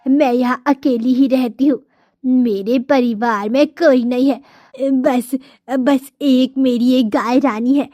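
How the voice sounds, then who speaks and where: Cartoon casting: kindly old village mother, woman performing, high voice, slow, frail and entreating, dry sound. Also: fairly even intonation, mellow, no echo. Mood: wistful. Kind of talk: Hindi moral-story cartoon dialogue.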